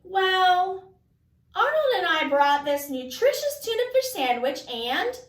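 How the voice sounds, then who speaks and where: Woman as a high-voiced, dramatic anxious schoolgirl. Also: sing-song, slow, heavily acted. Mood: nervous bluffing. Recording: echoey room, distant mic.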